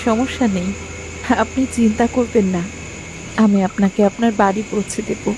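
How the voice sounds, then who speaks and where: An actress as a mysterious young village woman in a cartoon tale. She speaks politely and enigmatically at a medium pitch, with clear night-insect noise around her. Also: fairly even intonation, normal speed, natural voice. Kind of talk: cartoon story dialogue.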